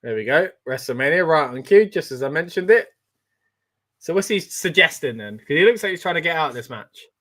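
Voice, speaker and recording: Excitable man, bright voice. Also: fairly high pitch, a little fast. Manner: excitable, chatty, reads out viewer comments. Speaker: wrestling watch-along host. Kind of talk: live casual reaction commentary.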